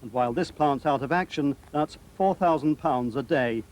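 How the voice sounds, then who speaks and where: A man's voice: medium pitch, moderate pace, darkish tone, low-quality recording. A man with a British accent narrating a scripted television news report as the reporter.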